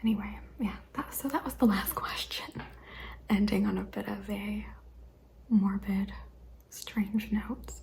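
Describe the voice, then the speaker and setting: A young adult woman hosting an ASMR questionnaire video, speaking mostly in a hesitant whisper with frequent ums and soft laughs. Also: medium pitch, fairly even intonation, measured pace, creaky, slight background noise. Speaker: ASMR channel host.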